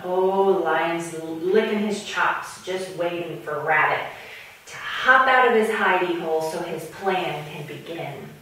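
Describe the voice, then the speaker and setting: A woman telling a children's story in an animated, playful way with drawn-out emphasis. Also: fairly low pitch, a little hiss or hum. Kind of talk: animated storytime narration with puppets. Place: echoing room, speaking to a camera. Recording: very echoey room, far from mic.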